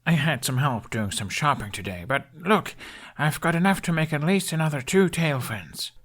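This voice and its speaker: A man's voice: on the high side, animated, normal speed, a bit bright, voice pushed up. A man voicing an earnest, hopeful young prince from a fantasy story, speaking eagerly as he searches for words. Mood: cheerful.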